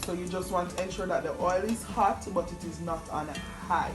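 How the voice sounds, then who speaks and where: A young woman with a Jamaican accent chatting casually in Patois as a teasing, animated cooking co-host. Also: fairly low pitch, normal speed, echoey room. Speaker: cooking vlog co-host, the daughter. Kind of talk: casual kitchen chat. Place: home kitchen. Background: music.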